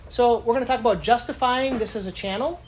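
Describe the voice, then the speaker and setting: A woman's voice: a little high, quick pace, a little mellow, slight background noise. A woman giving a live lecture to a conference audience in a casual, chatty way, often asking the room questions. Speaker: conference speaker.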